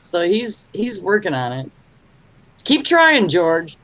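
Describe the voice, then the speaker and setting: A woman's voice: fairly low pitch, very animated, takes it slowly, a bit bright, rough recording. A woman hosting a casual interview podcast, asking her guest questions in a chatty way. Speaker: podcast host. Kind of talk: casual interview over a video call.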